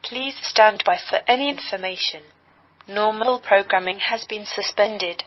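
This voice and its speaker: A woman's voice: mid-range voice, a little slow. A woman television continuity announcer reading a formal emergency standby announcement. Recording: dull lo-fi, noisy broadcast audio.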